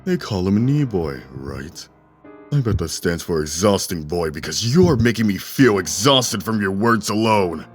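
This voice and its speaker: A man's voice: deep voice, big pitch swings, normal speed, breathy edge, faint music behind, full character voice. A man playing a haughty, short-tempered young swordsman in an audio drama, throwing formal, contemptuous insults.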